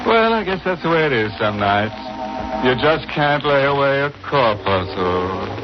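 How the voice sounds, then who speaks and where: An actor playing a gleefully morbid horror host, mock-sinister and drawling, in 1940s radio style. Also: male voice, medium pitch, big pitch swings, a little slow, music in the background. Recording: narrow tinny old radio recording.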